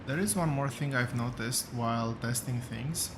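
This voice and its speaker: A man with a rough voice, thinking aloud as he hosts a relaxed gameplay commentary, with many fillers and pauses. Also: medium pitch, noisy background. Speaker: gaming channel host.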